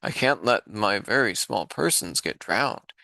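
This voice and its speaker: A man's voice: fairly low pitch, expressive, measured pace, gravelly, bright, forceful tone, dry recording, exaggerated voice. A man voicing a big, kind male elephant who is earnest and worried.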